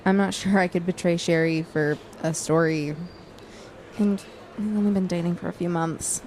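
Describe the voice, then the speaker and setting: A woman playing a supportive student journalist, gentle and lightly sarcastic, in naturalistic audio drama. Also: fairly low pitch, steady tone, normal speed, slight background noise, close to an everyday voice, poor audio. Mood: gently sarcastic, concerned. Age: young adult.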